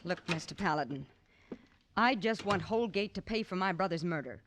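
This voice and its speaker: A woman's voice: lowish voice, lively intonation, normal speed, noisy background, lightly acted. An actress playing a stubborn, grieving young townswoman set on vengeance in an old radio western, earnest and defiant. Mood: insistent.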